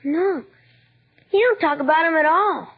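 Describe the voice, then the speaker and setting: A woman playing a plain-spoken, wistful young American boy with a husky voice in a 1940s radio drama. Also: very high voice, unhurried. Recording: thin, narrow old radio broadcast.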